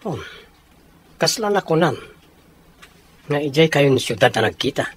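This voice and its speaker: An actor playing a soldier chatting easily with a comrade in a radio drama, with an Ilocano accent. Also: male voice, fairly high pitch, lively intonation, normal speed, husky. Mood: reflective.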